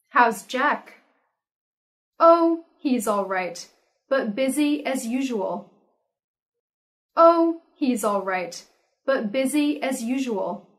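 A young woman, an English teacher, reading everyday practice dialogues aloud slowly and carefully, clearly enunciating and saying each line twice. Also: a little high, fairly bright tone. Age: young adult. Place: indoor studio with red curtain backdrop.

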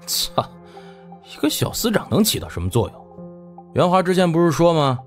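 A man's voice: on the high side, sing-song, moderate pace, faint music behind, pitched above the performer's own voice. A man voicing an arrogant, impatient young heir in an audiobook, haughty and coarse. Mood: irritated contempt.

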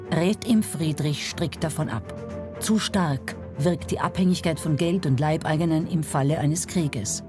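A narrator reading a scripted historical documentary. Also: mid-range voice.

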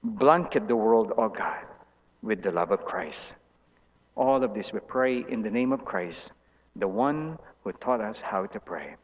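A middle-aged pastor prays aloud very slowly, in short, solemn phrases. His man's voice sits at an average pitch. It rings with long church reverb and sounds slightly muffled. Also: expressive. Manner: measured, reverent, pausing between short phrases. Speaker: pastor leading prayer. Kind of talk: solemn congregational prayer. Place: large church sanctuary, indoors.

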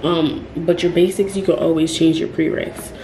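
A young woman talks to the camera in a rambling vlog, her voice low and mellow. She speaks in a flat, even tone and often stops to restart her sentences. The room sounds echoey, with faint ambient noise behind her. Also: moderate pace. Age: young adult. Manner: rambling, frequent ums and self-corrections. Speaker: vlogger. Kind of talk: casual talk to camera.

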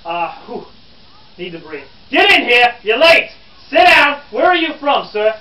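Man acting a flustered, high-voiced pompous reporter, roomy sound. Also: expressive, quick pace, a bit bright. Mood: flustered, apologetic. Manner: theatrical, hectoring, stammering. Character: flustered, pompous, exasperated 1863 northern newspaper reporter lecturing pupils. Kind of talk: living-history stage performance for an audience. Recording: roomy, distant, dull live audience recording.

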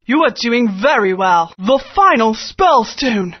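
A woman voicing an eccentric, wary mother creature who gives deliberate, cryptic guidance in a video game. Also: a little high, lively intonation, breathy edge, full character voice. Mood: solemn guiding. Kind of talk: video game character acting. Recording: dull lo-fi game audio.

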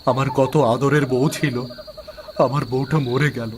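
A man's voice: medium pitch, little pitch movement, a little slow, background noise, natural voice. A man voicing an anxious, guilty young husband in a cartoon. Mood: pleading. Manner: anxious, pleading. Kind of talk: Bengali cartoon dubbing.

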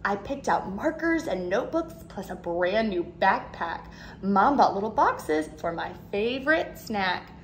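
A woman in her thirties, a teacher, reading a picture book aloud to young children in an expressive, animated way. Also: mid-range voice, measured pace, a little hiss or hum. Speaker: second grade teacher. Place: living room at home, indoors.

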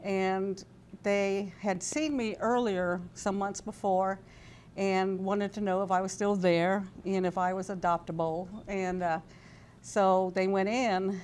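A woman in her sixties telling a personal story as an interview guest, halting and conversational. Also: mid-range voice, fairly even intonation, darkish tone. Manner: halting, conversational, voices quoted dialogue. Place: indoor TV studio-style set at a convention. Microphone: lapel mic. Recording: background noise and room ambience.

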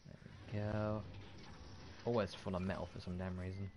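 Male gaming YouTuber, offhand and casual, low creaky voice, some background noise. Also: little pitch movement, moderate pace. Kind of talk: casual gameplay commentary.

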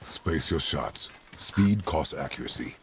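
Gruff warrior dad from a video game, super deep growly voice, terse and bossy, music behind. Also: male voice, lively intonation, heavy background noise, exaggerated voice. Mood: stern. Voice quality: deep, gravelly. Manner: terse, commanding, measured.

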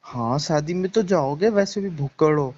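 A man's voice: on the high side, lively intonation, normal speed, a little mellow. A young man playing a jealous, accusing ex-boyfriend in a staged phone call, insistent and repeating himself. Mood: bitter, jealous. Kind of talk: staged phone-call conversation in casual Hindi. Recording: dull lo-fi phone-call sound.

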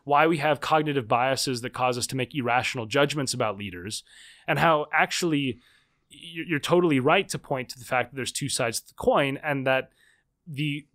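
A man's voice: medium pitch, moderate pace, a bit bright. A man in his thirties talking as a podcast guest, thoughtful and hedging, with frequent fillers and restarts. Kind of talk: conversational interview.

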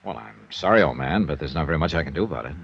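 An American man playing a wry, brisk insurance investigator with a dry, clipped manner in a 1950s radio crime drama. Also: rumbling low, lively intonation, creaky, husky, heavily acted. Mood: dryly impatient. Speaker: lead actor and first-person narrator. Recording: muffled old radio recording with music.

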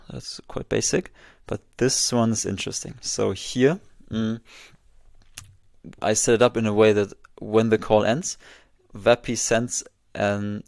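A man in his twenties giving a casual walkthrough as a software tutorial presenter, close on a desk microphone. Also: fairly low pitch, vocal fry, no echo. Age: 20s. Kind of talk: casual screen-share walkthrough.

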